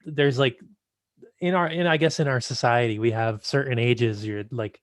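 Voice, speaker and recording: A man of twenty-five hosts a casual podcast chat, agreeable and loose with his words. His voice sits at an ordinary middle pitch. The sound is dry and close. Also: fairly even intonation, moderate pace, no echo. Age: 20s. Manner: easygoing, agreeable, loose with fillers. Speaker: podcast host.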